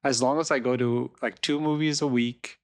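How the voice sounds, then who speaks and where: Guy podcast cohost, smoky husky voice, teasing and upbeat, middle pitch. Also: steady tone, moderate pace. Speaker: podcast co-host. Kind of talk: casual chat.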